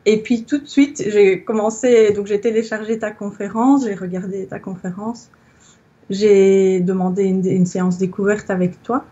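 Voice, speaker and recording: A woman's voice: mid-range voice, steady tone, moderate pace, warm tone, muffled recording. A woman in her thirties from Belgium, giving a reflective interview testimonial and searching for her words as she speaks. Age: 30s. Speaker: interviewee, yoga teacher. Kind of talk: recorded testimonial conversation.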